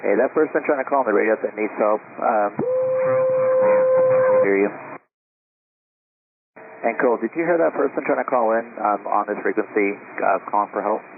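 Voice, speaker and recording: A man's voice: lowish voice, moderate pace, vocal fry, long pauses. A man talking informally over an aviation radio. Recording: tinny, lightly noisy aviation radio.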